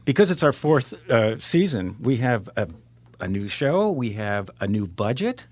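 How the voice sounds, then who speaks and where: A man with a mid-pitched voice co-hosts a comedy talk show. He talks conversationally, with playful deadpan banter. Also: a little slow, slight background noise. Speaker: show co-host.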